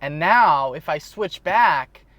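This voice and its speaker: A man with a fairly high voice, recorded dry and close, tells a rambling story on a podcast. He often stumbles and repeats himself as he gets going. His talk is loose and unscripted. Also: animated, slow pace, bright, forceful tone, no room sound, muffled recording. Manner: rambling storyteller, trips over his words. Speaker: podcast co-host. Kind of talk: casual chat.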